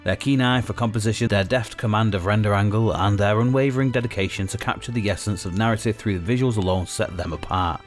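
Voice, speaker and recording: A man's voice: fairly low pitch, fast, hardly any pauses, soft background music. A man reading scripted narration in a grandiose tone, breaking it with crude jokes.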